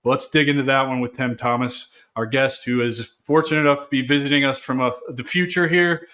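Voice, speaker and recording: Guy hosting a vet podcast, punchy n casual, a bit salty, mid pitch voice. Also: fairly even intonation, brisk, pushed and bright. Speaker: podcast host. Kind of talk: podcast intro and interview.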